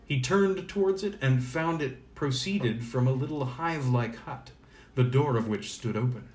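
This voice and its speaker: A man's voice: medium pitch, moderate pace, darkish tone, a little hiss or hum. Male storyteller in an echoey room. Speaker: storyteller reading aloud. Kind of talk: expressive audiobook narration of a fairy tale. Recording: roomy, echoing sound.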